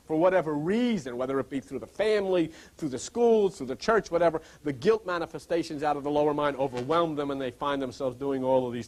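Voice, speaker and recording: A male pastor giving an informal sermon, reading scripture and pressing listeners with rhetorical questions. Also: fairly high pitch.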